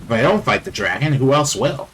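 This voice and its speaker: A man's voice: mid-range voice, expressive, brisk, slightly rough. A man giving casual let's play commentary, tossing sarcastic asides at the game's characters. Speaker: let's player. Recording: loud game music, rain behind.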